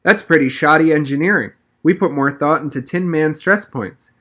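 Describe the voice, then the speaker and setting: A man's voice: on the high side, moderate pace, fairly bright tone, pitched above the performer's own voice, lightly acted. A man reading a storybook as an eager, friendly, sincere schoolgirl from a gifted class. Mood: earnest.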